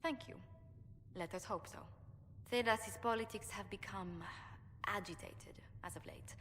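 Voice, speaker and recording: An actress playing a poised, tactful court diplomat in a video game, speaking in a formal and measured way. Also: female voice, mid-range voice, a bit bright, natural voice. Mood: gracious. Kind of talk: video game dialogue acting. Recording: long hall reverb, faint noise.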